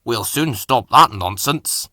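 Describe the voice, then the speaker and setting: A man's voice: mid-range voice, animated, slow pace, bright, forceful tone, no echo, clean studio sound, exaggerated voice. A man voicing a polite, confident and proud male railway engine in a children's story. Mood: firm, helpful. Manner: courteous, assured.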